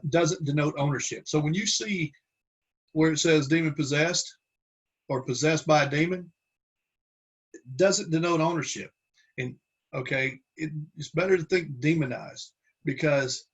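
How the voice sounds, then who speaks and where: A man teaching a Bible class from slides, talking in a halting way with many restarts and fillers. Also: medium pitch, slightly rough.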